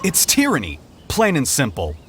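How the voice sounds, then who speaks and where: A man playing an aggrieved, hot-headed shopkeeper in a video game, indignant and forceful. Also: medium pitch, huge pitch swings, moderate pace, noisy background. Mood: bitter. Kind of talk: video game cutscene acting.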